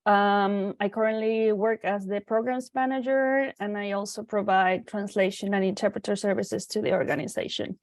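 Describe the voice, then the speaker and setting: A woman's voice: medium pitch, steady tone, normal speed, few pauses, no echo. Woman, hesitant panel intro. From Chile. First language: Spanish. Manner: casual, hesitant, many "um" fillers. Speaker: interpreter and translator on a panel.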